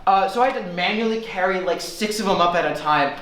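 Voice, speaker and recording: A man's voice: sits high, quick pace, a bit bright, a little hiss or hum. A young man telling a rambling, self-deprecating stand-up story at a student open mic. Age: young adult. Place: student forum room. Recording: echoey room from far off.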